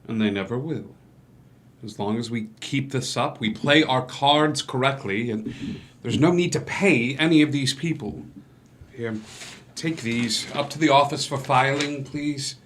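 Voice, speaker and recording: An actor playing a smooth-talking, scheming doctor and businessman in a stage drama read, with formal, measured, manipulative delivery. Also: medium pitch, fairly bright tone, a little hiss or hum, lightly acted. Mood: calm, controlling. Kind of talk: musical table read, dramatic stage acting.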